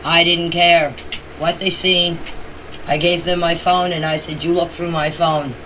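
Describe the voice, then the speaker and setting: A plain-spoken woman recounting events in a casual voice message, in halting and repetitive phrasing. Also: fairly low pitch. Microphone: phone voice message. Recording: noisy voice clip, steady background hiss.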